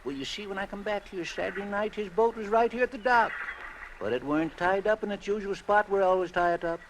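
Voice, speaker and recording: An actor playing a talkative, folksy American boat dock keeper with rustic, ungrammatical speech in an old radio drama. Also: male voice, sits high, fast talker, natural voice. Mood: explaining. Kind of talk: mid-century American radio drama acting. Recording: noisy vintage radio drama recording.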